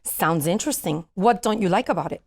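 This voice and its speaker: A woman plays a middle-aged mother, caring but firm, in a table read. Her voice is fairly low with plenty of creak. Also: expressive, a little fast, a little mellow. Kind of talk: table reading of a comedy script.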